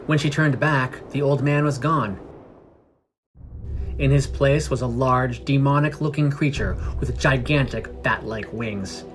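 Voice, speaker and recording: A man's voice: medium pitch, a little slow, slight background noise. A man reading scripted narration for a fantasy adventure story. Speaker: narrator of a fantasy fiction podcast.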